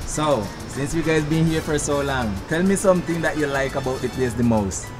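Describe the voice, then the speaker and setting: A man's voice: a little high, normal speed. A young man running casual street interviews on a sunny beach, upbeat and slangy, laughing often. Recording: music playing under the voice.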